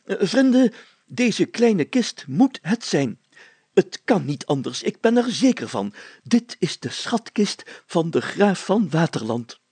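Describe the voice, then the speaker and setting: A man's voice: sits high, sing-song, takes it slowly, darkish tone, breathy edge, no room sound, heavily acted. A man voicing a pompous, solemn and easily irritated village mayor in a children's story, with formal, declamatory delivery. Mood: excited, commanding. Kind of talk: children's story character acting.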